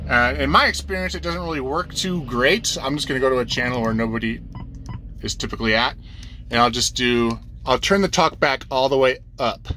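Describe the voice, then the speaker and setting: A man with a bright, forward voice at an ordinary middle pitch gives an informal tutorial. He talks like a working trucker, plain and practical, going through instructions step by step. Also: fairly quick, slight background noise, rough recording. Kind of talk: casual hands-on explainer. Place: inside a truck cab.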